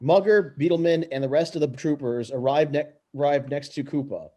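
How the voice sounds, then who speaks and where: A man reading stage directions aloud in an amateur script read-through, halting and tripping over words. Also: on the high side, mellow, dull audio.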